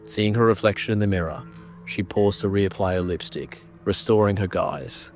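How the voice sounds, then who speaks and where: A low-pitched man delivers scripted horror narration. His manner is grave and deliberate, shaping ornate prose dramatically, with faint music underneath.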